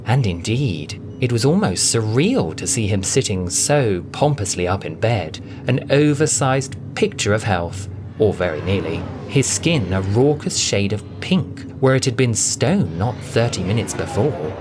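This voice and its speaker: A man's voice: fairly low pitch, big pitch swings, unhurried, hardly any pauses. Theatrical male narrator over music. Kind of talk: scripted dramatic audiobook narration.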